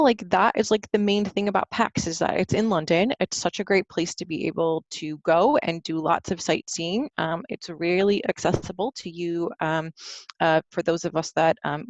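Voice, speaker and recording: A woman's voice: lowish voice, animated, moderate pace, dry recording. A woman talking informally and hesitantly through travel photos as a troop trip leader, in a casual, conversational way.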